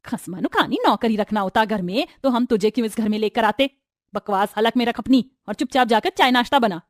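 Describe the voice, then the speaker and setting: Lady doing a sharp-tongued bossy mother-in-law from a storybook, high pitched, close dry sound. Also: a little fast, no echo, close to an everyday voice. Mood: scolding. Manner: sarcastic, domineering, sharp-tongued. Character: scolding, bossy middle-aged mother-in-law.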